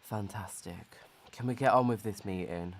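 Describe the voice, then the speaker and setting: A man's voice: on the low side, normal speed, heavy background noise, close to an everyday voice. A man acting in a radio drama as a grumpy, sleepy spirit of hibernation season, grumbling with weary sarcasm. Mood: dry sarcasm.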